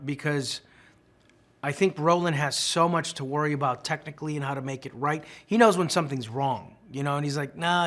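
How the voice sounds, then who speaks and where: A man, an actor, explaining his work thoughtfully in an interview, pausing and repeating words. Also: fairly high pitch, fairly bright tone. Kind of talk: casual junket interview.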